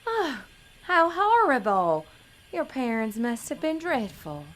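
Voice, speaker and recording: A woman's voice: high-pitched, sing-song, slow, fairly bright tone, exaggerated voice. A woman playing a naive, earnest young pageant contestant in an audio drama, prim and melodramatic in manner.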